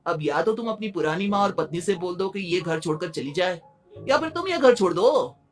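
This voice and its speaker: A man's voice: high voice, animated, moderate pace, faint music behind. A man voicing a concerned, self-assured young friend in a Hindi moral-story cartoon. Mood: persuasive. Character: concerned, confident young male friend offering help.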